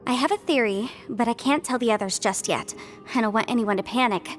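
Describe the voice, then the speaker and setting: A woman playing an analytical, polite young investigator in a video game, measured, articulate and thoughtful. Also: fairly high pitch, over music, natural voice.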